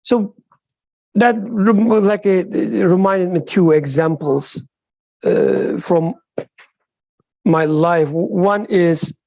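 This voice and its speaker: Slow, mellow woman. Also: on the low side, poor audio. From Turkey. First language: Turkish. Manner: halting, searching for words. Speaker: study circle participant. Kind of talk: group discussion.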